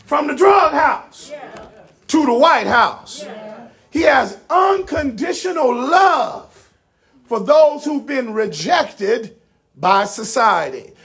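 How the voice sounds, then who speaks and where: A man preaching an animated sermon, speaking emphatically with rhetorical repetition and direct appeals to his listeners. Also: extremely high pitch, takes it slowly, gravelly.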